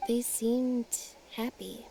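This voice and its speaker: A woman voicing a calm, reserved young woman in a video game, speaking in an even, measured way. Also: a little high, gravelly, slight background noise, poor audio. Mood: heartfelt. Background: game music.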